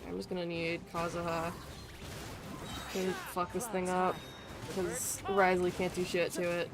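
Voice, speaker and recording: Playful, sweary streamer, medium-pitched feminine-sounding voice. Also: monotone, normal speed, music in the background. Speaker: livestreamer. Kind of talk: casual gaming commentary.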